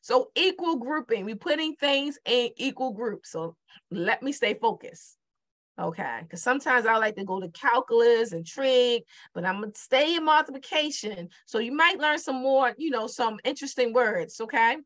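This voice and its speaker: Baltimore lady teaching times tables, kinda high voice, chatty, keeps asking the kids questions. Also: lively intonation, normal speed, fairly bright tone. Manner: chatty, repeats questions to the viewer, digresses then refocuses. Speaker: math teacher. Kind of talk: casual whiteboard math lesson.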